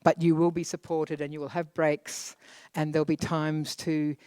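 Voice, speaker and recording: A facilitator calmly briefing a group, speaking in an instructive way. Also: medium pitch, moderate pace, clear, resonant tone, no room sound. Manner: calm, instructive.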